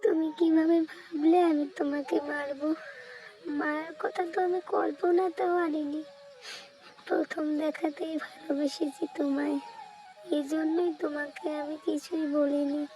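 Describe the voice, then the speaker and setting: A woman's voice: sits high, level tone, unhurried, mellow, slight background noise, poor audio. A woman voicing a tender, anxious young ghost girl in love, pleading emotionally in a cartoon. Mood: earnest, hurt. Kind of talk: cartoon dialogue.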